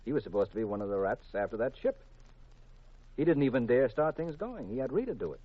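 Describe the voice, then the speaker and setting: An American actor playing a calm detective husband earnestly explaining himself in a 1950s radio drama. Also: male voice, medium pitch, fast, slightly rough, no room sound. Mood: matter-of-fact. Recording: dull, lo-fi 1950s radio recording.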